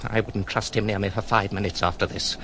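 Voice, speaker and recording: An actor playing a sinister, disdainful gentleman villain in an audio drama, speaking in a mocking, florid, theatrical way. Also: fairly low pitch, steady tone, brisk, gravelly. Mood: cold menace. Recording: noticeable background noise.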